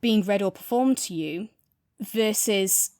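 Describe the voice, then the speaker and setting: A woman's voice: mid-range voice, slow pace, darkish tone, no echo. A woman co-hosting a podcast in a casual, informal chat, explaining at length with plenty of asides. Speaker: podcast co-host. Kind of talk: casual chat, audio drama review.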